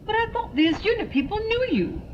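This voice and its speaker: A woman's voice: extremely high pitch, sing-song, a little fast, highs cut off, a little hiss or hum. A woman playing a wary, impatient female character in a sci-fi audio drama, urgent and questioning. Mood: indignant.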